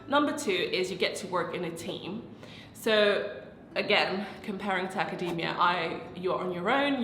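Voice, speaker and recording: A woman's voice: fairly low pitch, fairly bright tone, a little hiss or hum. A young woman, congested and nasal from a cold, chatting casually to camera as she explains her work point by point. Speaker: consultant and vlogger. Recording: roomy echo with a long tail and faint music.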